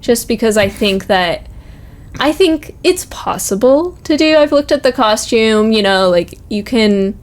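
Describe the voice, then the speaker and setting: A woman's voice: a little high, lively intonation, a little slow. A young woman chatting casually and enthusiastically, often peppering her talk with "like". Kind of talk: casual chat. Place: office.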